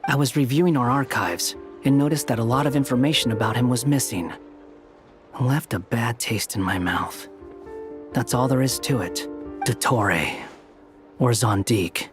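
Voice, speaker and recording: A man's voice: medium pitch, natural voice. A man playing an aloof, curt young scholar with a dry, dismissive manner in a video game. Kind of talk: scripted video game dialogue.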